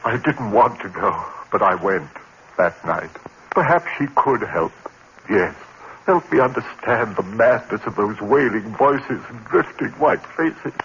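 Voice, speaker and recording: A man's voice: on the low side, expressive, fairly bright tone. An actor playing a tormented man haunted by voices and sliding into madness, in theatrical, anguished 1950s horror radio drama style. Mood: haunted, brooding. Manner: theatrical, anguished, halting delivery. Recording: muffled, noisy vintage 1950s radio recording.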